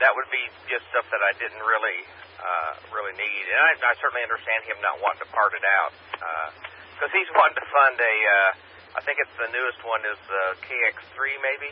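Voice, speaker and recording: A middle-aged or older man in casual conversation over ham radio, speaking plainly and a little haltingly. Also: fairly low pitch, expressive. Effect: two-way radio transmission. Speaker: amateur radio operator. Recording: dull, noisy scanner feed of radio repeater.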